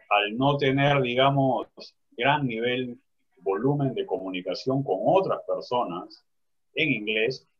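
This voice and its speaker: A man's voice: mid-range voice, breathy edge, muffled recording. A man talking reflectively in a panel discussion over a video call, often restarting his phrases. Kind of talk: casual discussion.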